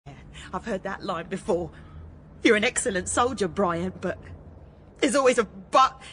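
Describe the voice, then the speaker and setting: Radio drama: embittered female ex-soldier, played by an actress, confrontational, high and animated, slight background noise. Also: normal speed, natural voice. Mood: bitter, angry. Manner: insistent, confrontational, accusing. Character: volatile, embittered female ex-soldier holding men at gunpoint. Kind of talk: naturalistic radio drama acting.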